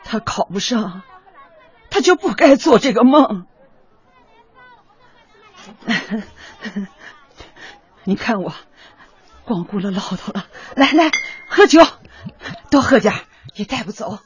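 Over slightly muffled audio with music and a background crowd, a middle-aged working-class mother in a radio drama. She is devoted and long-suffering, with earthy, fussing, highly animated speech. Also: takes it slowly, pauses often, breathy edge, full character voice. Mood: tipsy, heartfelt.

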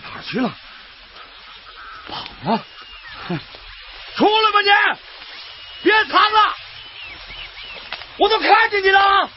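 High-pitched, excitable radio drama bird protector. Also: male voice, slow, pauses often, slight background noise, full character voice. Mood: furious, shouting. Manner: excitable, exclamatory, colloquial. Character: indignant bird protector, a laid-off worker, tender-hearted. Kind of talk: Chinese radio drama acting. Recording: dull radio drama recording with loud music.

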